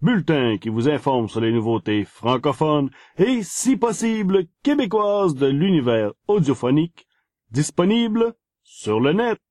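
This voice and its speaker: Man, Quebec French accent, podcast segment presenter, very unhurried pace, dry close sound. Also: a little high, lively intonation, a little mellow, no echo. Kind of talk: scripted podcast news segment.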